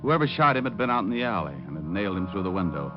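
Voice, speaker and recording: An American actor playing a tough, wry, wisecracking private detective in an old-time radio drama. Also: male voice, sits low, sing-song, very fast. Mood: wry narrating. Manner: wry, hard-boiled, wisecracking. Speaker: lead actor and first-person narrator. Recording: muffled vintage radio, music behind.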